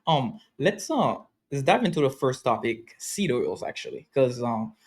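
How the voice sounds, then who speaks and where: A man's voice: mid-range voice, very animated, unhurried, darkish tone. A man in his thirties hosts a podcast in a loose, chatty way. He talks casually with his guest and laughs easily. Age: 30s. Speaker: podcast host.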